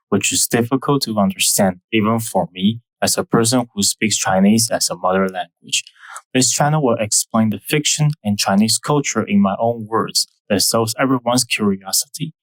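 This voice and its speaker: Young Taiwanese-accented male host, lowish voice, dry close mic, measured scripted history narration. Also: dry recording, low-quality recording. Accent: Taiwanese Mandarin. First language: Mandarin. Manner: careful, measured explaining with non-native phrasing.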